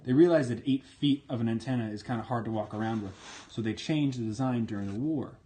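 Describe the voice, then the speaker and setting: A man's voice: medium pitch, fast, mellow. Man giving an informal hands-on explainer. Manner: plainspoken, step-by-step explaining. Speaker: presenter demonstrating vintage military radio equipment.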